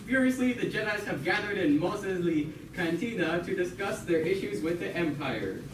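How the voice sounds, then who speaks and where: A male narrator tells a scripted comic tale theatrically. His voice is high and animated, recorded from a distance in an echoey, noisy room. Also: normal speed.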